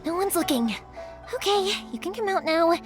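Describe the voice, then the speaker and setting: An actress playing a bubbly, caring young fireworks shop owner who talks brightly and patiently. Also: female voice, very high voice, expressive, moderate pace. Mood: warm. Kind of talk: game cutscene voice acting. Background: music.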